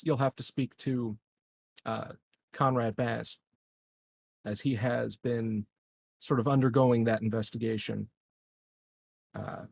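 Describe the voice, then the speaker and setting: A man roleplaying a measured, diplomatic colony administrator in a tabletop game, speaking formally and haltingly. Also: medium pitch, vocal fry, darkish tone, no echo. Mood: measured, official.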